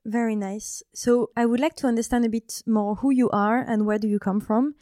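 Woman asking interview questions, relaxed warm voice, nods along with short yeahs. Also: on the high side, normal speed, no room sound, clean studio sound. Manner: prompting with questions and short acknowledgments. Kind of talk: casual interview conversation.

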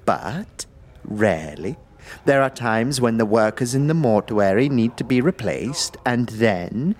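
A man voicing a tiny, energetic man in his nineties for an audio drama, courtly and archaic, hemming and repeating himself. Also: mid-range voice, measured pace. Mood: persuasive, breezy. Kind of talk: audio drama character acting.

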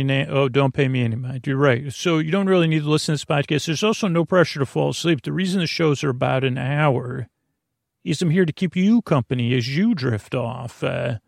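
A man delivers a loose, wandering bedtime podcast monologue in a noticeably creaky, fry-laden voice. He stops, restarts and drifts into gentle absurdity with mild humor. The sound is close and very dry, with hardly any room around it. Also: mid-range voice, no room sound. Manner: meandering, halting, self-interrupting. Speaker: podcast host.

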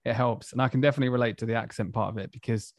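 A man's voice: mid-range voice, fairly even intonation, very fast, no room sound, clean studio sound. A man with an Essex accent hosting a podcast interview, talking in an enthusiastic and affirming way. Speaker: podcast host.

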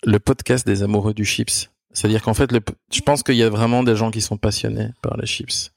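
A man's voice: on the low side, level tone, a little fast, vocal fry, no room sound. A man hosting a podcast in playful, casual conversation. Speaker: podcast host. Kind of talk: casual chat.

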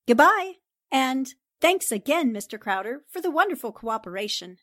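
A woman's voice: sits high, big pitch swings, moderate pace, fairly bright tone, no room sound, studio quality, heavily acted. A woman playing a confident, determined newspaper sleuth in 1940s radio drama style, assertive and politely pointed. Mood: coolly insistent.

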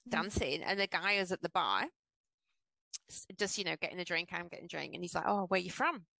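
A woman with an English accent softened by years in Australia, co-hosting a casual podcast discussion and making her points with rhetorical questions. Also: lowish voice, expressive, fairly quick, gravelly, no room sound. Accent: English accent with some Australian. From England. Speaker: podcast co-host.

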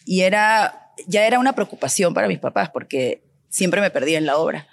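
A woman's voice: fairly low pitch, lively intonation, a little fast, creaky, no room sound. A woman from Peru, an architect and designer, telling stories as an interview guest. She speaks animatedly and acts out remembered bits of dialogue. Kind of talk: conversational storytelling.

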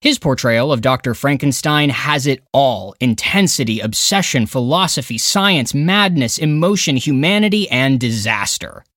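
A man's voice: mid-range voice, expressive, normal speed, few pauses, a bit bright, no room sound, studio quality. A man reading a scripted review countdown as a podcast narrator and critic, with an emphatic, opinionated, dramatic way of phrasing.

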